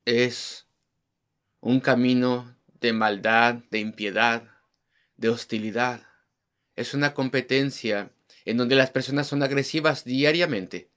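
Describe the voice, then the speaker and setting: A man's voice: mid-range voice, fairly even intonation, takes it slowly, a bit bright, clean tone. A man preaching an evangelistic sermon, driving his points home with rhetorical questions and repeated words in lists.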